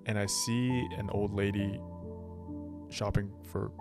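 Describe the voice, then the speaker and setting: A man from Canada whose first language is Chinese, co-hosting a casual podcast chat, laid-back and animated when joking. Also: fairly low pitch, slow, music in the background. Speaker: podcast co-host.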